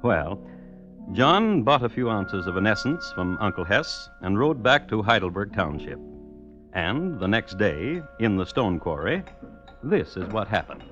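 Low, swooping, wry radio storyteller. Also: male voice, sing-song, unhurried. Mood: droll. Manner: wry, droll, mock-solemn. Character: wry male storyteller of macabre tales. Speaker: narrator. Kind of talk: scripted old-time radio narration. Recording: muffled vintage radio recording. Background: music.

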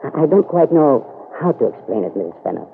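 A man playing a discreet manservant in an old-time radio soap, formal, measured and tactfully evasive. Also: a little high, sing-song, vocal fry. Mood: guarded, tactful. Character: discreet, deferential gentleman's manservant, evasive and gallant. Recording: tinny, noisy old radio broadcast.

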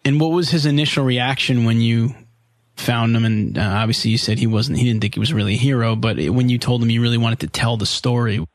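Man, podcast host, mid-pitched voice, friendly questions, dry close sound. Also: fast, dry recording. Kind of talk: interview conversation.

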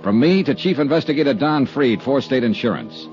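A man's voice: mid-range voice, animated, brisk, natural voice. An American actor playing a tough insurance investigator who narrates his own case in a 1950s radio detective drama. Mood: terse, measured narration. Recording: muffled old radio broadcast, music behind.

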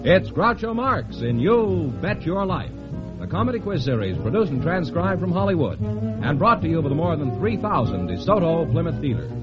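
An American man announces a 1950s radio show with formal showmanship. His pitch is a little high and swings in a lively way. The broadcast sounds muffled, with prominent music.

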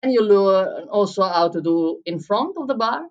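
A woman from Italy with an Italian accent, answering interview questions as a barista and coffee coach, often pausing and restarting as she looks for words. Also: mid-range voice, expressive, dull audio. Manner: searching for words in English, frequent restarts.

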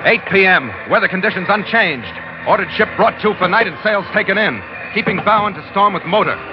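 An actor playing a no-nonsense, harried ship captain who also narrates, curt and commanding, in old-time radio drama. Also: male voice, high-pitched, animated, fairly quick. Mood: terse, grave. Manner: curt, commanding, clipped log-style delivery. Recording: muffled vintage radio recording with music.

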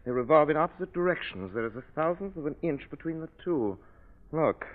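An actor playing an urbane, skeptical gentleman sleuth in a 1940s radio drama, dry and unruffled. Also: on the high side, lively intonation, a little fast, lightly acted. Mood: musing. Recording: tinny old radio recording.

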